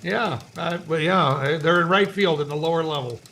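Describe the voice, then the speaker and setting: Bright, high-pitched middle-aged man hosting. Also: normal speed, slight background noise. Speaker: podcast host. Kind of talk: news banter and interview introduction.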